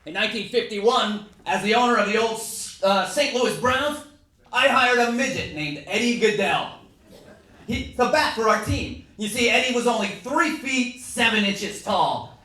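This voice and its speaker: A man's voice: high-pitched, lively intonation, normal speed, pushed and bright. A man playing a jovial, boastful baseball team owner in a folksy first-person dramatic reading. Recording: echoey room from far off, faint noise.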